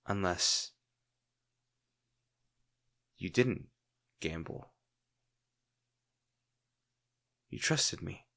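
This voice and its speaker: A man playing a crime-boss villain in an intimate audio roleplay, secretly tender and worried, speaking sardonically with dramatic pauses. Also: sits low, expressive, creaky, bright, forceful tone, heavily acted. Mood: quiet realisation.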